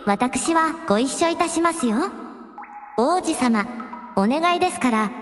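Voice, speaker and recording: A woman's voice: high-pitched, lively intonation, unhurried. A woman playing a devoted young dragon princess in a radio drama, speaking very politely and formally. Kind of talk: Japanese radio drama acting. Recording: long reverb, music underneath.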